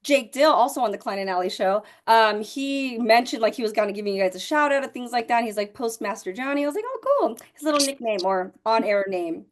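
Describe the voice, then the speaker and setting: A woman's voice: fairly high pitch, fairly quick, few pauses. A woman of thirty-one hosting a casual, chatty interview podcast in a slangy, enthusiastic manner. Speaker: podcast host.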